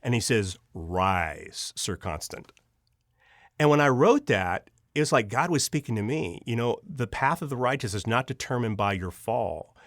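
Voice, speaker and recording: An earnest man with a medium-pitched voice talks as a guest in an interview, telling stories conversationally. He halts and doubles back on his words as he goes. The sound is close and dry, with no room around it. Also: expressive, moderate pace, no echo. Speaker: audio drama writer and producer, interview guest.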